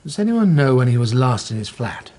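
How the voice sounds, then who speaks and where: Brisk, commanding male detective, acted. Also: medium pitch, very animated, warm tone, close to an everyday voice. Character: brisk male senior detective giving orders. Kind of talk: radio drama acting.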